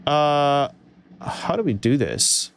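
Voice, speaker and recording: A man with a slightly high, very animated voice muses aloud through a casual livestream tutorial. He is hesitant, feeling his way as he goes, while synthesizer music plays loudly behind him. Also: slow pace, vocal fry. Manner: hesitant, musing while experimenting.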